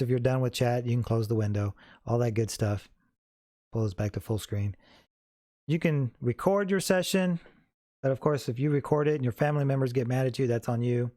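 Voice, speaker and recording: Casual man with a mellow, dry sound. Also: medium pitch, no room sound. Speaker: host of a how-to tutorial. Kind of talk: casual, unscripted software tutorial.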